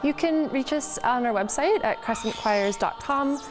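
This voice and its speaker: A woman from a youth choir giving its contact details in a relaxed, casual way, with the odd filler. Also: high-pitched, normal speed. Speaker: choir representative. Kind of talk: casual promotional chat. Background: music.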